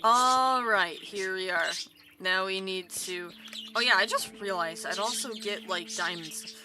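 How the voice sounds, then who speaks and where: A woman streamer with a rough voice, chatting casually and rambling while she plays, swearing now and then, into a desk microphone. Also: medium pitch, unhurried, noisy background. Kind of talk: casual live stream chat while gaming. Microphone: desk microphone with mute button. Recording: music playing under the voice. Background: background music.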